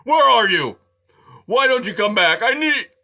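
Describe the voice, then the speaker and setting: A man voicing a grumpy, complaining male porcupine who snarls and shouts in loud outbursts. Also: mid-range voice, lively intonation, unhurried. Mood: furious.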